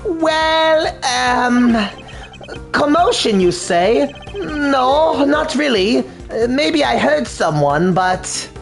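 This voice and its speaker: A man's voice: very high voice, big pitch swings, extremely slow, creaky, soft background music, pitched above the performer's own voice, heavily acted. A man voicing a jittery young male pet stylist suspect who stammers nervously and swaps his R's for W's. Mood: evasive. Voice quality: slight lisp replacing R's with W's. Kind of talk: fan dub of a video game.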